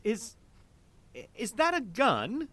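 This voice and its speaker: A man's voice: very high voice, huge pitch swings, slow, pushed and bright, slight background noise, exaggerated voice. A man playing an anxious, housebound neighbor with a hesitant, fussy manner in an audio drama. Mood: frightened. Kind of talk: scripted audio drama acting.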